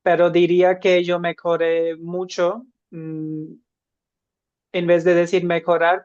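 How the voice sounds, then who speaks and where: A man's voice: fairly high pitch, clean tone, no room sound, muffled recording. A man from India, speaking Spanish as an as a podcast co-host, thoughtful and full of fillers and restarts. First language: an Indian language. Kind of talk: casual podcast chat.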